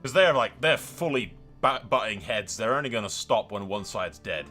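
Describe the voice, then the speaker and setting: A male gamer with a fairly low, very bright and punchy voice commentates on his playthrough. He swears freely and banters excitedly as he goes, with music clearly playing behind him. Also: moderate pace. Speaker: host of a gaming channel. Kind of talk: playthrough commentary with in-character narration.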